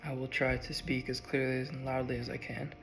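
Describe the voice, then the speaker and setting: Sick young man with a gravelly voice. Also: mid-range voice, little pitch movement, fairly bright tone, slight background noise. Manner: halting, with frequent ums and uhs. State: sick, voice strained. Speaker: student. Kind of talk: class presentation speech.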